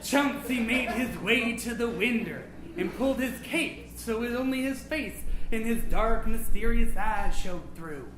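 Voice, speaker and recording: A man's voice: very high-pitched, animated, measured pace, hardly any pauses. A man voicing a vain, absent-minded old vampire, reading a comic storybook aloud in a melodramatic, pompous, campy manner. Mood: grandly mysterious. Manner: melodramatic, pompous, campy, hushed then suddenly loud. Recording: echoey room, noisy live recording.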